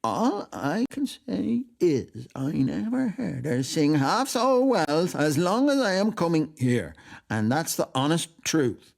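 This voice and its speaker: A man voicing an excitable, tipsy man gushing at a party in a storybook, repeating himself emphatically. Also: on the high side, slow, gravelly, pitched above the performer's own voice, full character voice. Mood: earnest, insistent. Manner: repetitive, emphatic, effusive.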